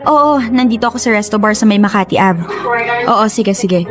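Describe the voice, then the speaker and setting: A woman's voice: mid-range voice, moderate pace, over music, close to an everyday voice. A woman playing a coy, playful young woman in a Tagalog radio drama, chatting in a teasing, protesting way. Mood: light, friendly. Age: young adult.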